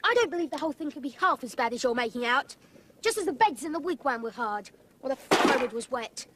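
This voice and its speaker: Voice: sits high, animated, fast talker, bright, forceful tone, exaggerated voice. A performer playing a stubborn, plucky schoolboy in a fantasy audio drama, with an impatient, argumentative way of talking. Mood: defiant.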